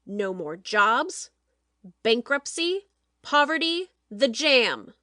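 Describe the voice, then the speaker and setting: A woman playing a domineering, cold-blooded TV producer in a dramatic audiobook, speaking in a forceful, pointed way. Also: a little high, very animated, slow pace, no room sound, clean studio sound, full character voice. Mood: angry, harsh. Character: hard, calculating TV show producer, domineering.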